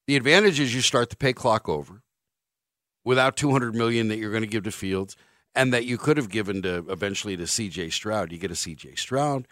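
A man's voice: medium pitch, no room sound. A male sports radio host, ranting bluntly and sarcastically in a studio. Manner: blunt, sarcastic, animated rants. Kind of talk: opinionated sports radio monologue. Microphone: studio microphone.

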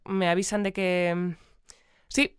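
Actress as clear-voiced Spanish radio presenter, flustered. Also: female voice, lowish voice, flat delivery, unhurried, no room sound, clean studio sound, close to an everyday voice. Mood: flustered, composed. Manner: formal, hesitant, apologetic. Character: polite, flustered female community radio presenter. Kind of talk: radio presenting in audio fiction.

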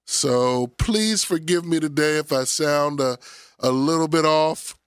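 A pastor in his forties hosts a radio talk show, his voice nasal and scratchy from a cold. He talks in a playful, preacherly way, pitched a touch high, close and dry on the mic. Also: a little slow, clean tone, dry recording. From Philadelphia area.

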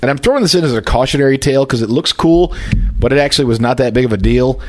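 A man's voice: medium pitch, fast. A man hosting a casual beer podcast, rambling and joking with plenty of fillers and restarts. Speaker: podcast host. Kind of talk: casual chat about beer.

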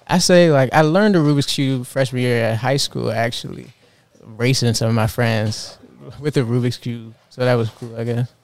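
A man in his twenties, a fashion designer, answering interview questions in a relaxed, earnest way with lots of fillers. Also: medium pitch, normal speed. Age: 20s.

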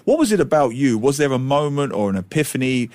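A man's voice: medium pitch, sing-song, fast, darkish tone, dry recording. A male co-host in a studio asking curious, long, probing questions. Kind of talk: studio interview hosting.

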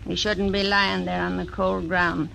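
An actress voicing a grim, hard frontier widow who gives cold, threatening orders, in 1940s radio acting style. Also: female voice, fairly low pitch, little pitch movement, measured pace, gravelly. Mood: cold, commanding. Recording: noisy old radio broadcast.

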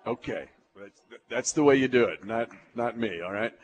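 An American man works as a sports show host, talking live to his audience and guest. His voice sits at a medium pitch, with an emphatic, folksy announcer's delivery. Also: lively intonation, moderate pace.

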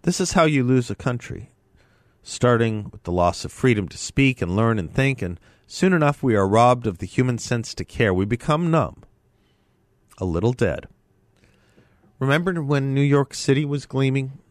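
A man hosting a talk radio show, delivering a measured opinion monologue with a slightly nasal voice from a mild head cold. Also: medium pitch, expressive, no echo, studio quality. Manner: measured, deliberate, lecturing. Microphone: studio microphone.

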